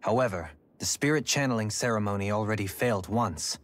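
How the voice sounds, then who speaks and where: A man's voice: on the low side, natural voice. A man playing a formal, cautious scholarly nobleman in a fantasy video game cutscene. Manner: formal, measured wording.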